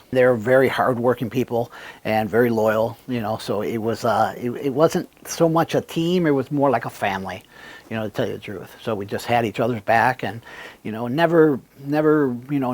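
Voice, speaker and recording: A middle-aged man from Cuba, a business owner telling his story in a relaxed, rambling way. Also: medium pitch. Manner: relaxed, many fillers and restarts. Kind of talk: conversational storytelling.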